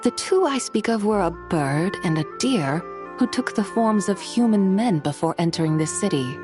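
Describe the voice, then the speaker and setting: A woman playing a composed, courteous scholarly lady in a video game, speaking in a formal, measured, old-world manner. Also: medium pitch, lively intonation, music in the background, close to an everyday voice. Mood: grave, thoughtful. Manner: formal, measured, archaic phrasing.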